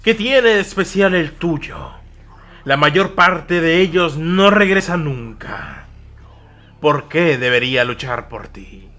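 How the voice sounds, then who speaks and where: A man's voice: on the high side, expressive, slow, pushed and bright, pitched above the performer's own voice, exaggerated voice. A man voicing a battle-worn mercenary in an audio war drama, spitting out mocking challenges with scorn. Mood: scornful.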